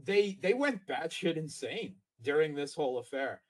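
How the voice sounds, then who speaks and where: A man's voice: fairly high pitch, big pitch swings, a little slow, over a noisy crowd. A man speaking as a guest analyst in informal political commentary, emphatic and often repeating his words.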